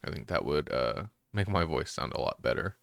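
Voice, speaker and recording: Male streamer, nasal, congested, very low gravelly voice, rambling casual commentary. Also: brisk, no echo, clean studio sound. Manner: rambling, relaxed, many fillers. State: congested and just woke up.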